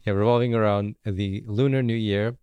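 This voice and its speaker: Male podcast host, easygoing and chatty, lower voice, dry booth sound. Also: normal speed, clear, resonant tone, no room sound, highs cut off. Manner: relaxed, friendly, hesitant with fillers. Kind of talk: casual podcast interview.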